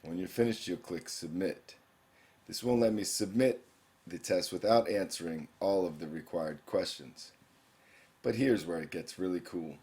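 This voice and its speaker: Male teacher, young adult, very low voice; plain explanatory screencast narration. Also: normal speed, warm tone. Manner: plain explanatory, reading quiz questions aloud.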